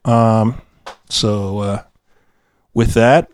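A man's voice: lowish voice, fairly even intonation, drawn-out words, dry recording. A man co-hosting a podcast in casual after-show banter, hesitant, meandering and jokey in his delivery. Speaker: podcast co-host.

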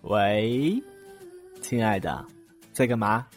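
An actor playing a good-natured, lovestruck young boyfriend with a mock-dramatic manner in a Mandarin radio drama. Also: male voice, on the low side, animated, slow pace, soft background music. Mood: mock-suffering. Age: young adult.

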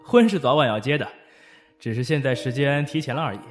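一名男配音演员扮演一位随和、即将结婚的年轻男子。. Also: male voice, mid-range voice, lively intonation, fast, faint music behind, close to an everyday voice. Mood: easygoing. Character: cheerful young groom-to-be.

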